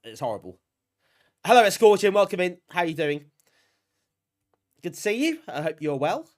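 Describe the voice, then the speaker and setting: Lively, higher-pitched British man, bunged up. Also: moderate pace. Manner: chatty, self-deprecating, appreciative of viewers. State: bunged up, getting over a cold. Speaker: live streamer hosting a retro gaming stream. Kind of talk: casual chat with live stream viewers.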